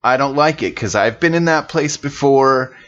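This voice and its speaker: A man's voice: mid-range voice, moderate pace, fairly bright tone. A man improvising in character at a tabletop game, talking in a colloquial, incredulous way on a slightly muffled microphone. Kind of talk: casual in-character improvised chat.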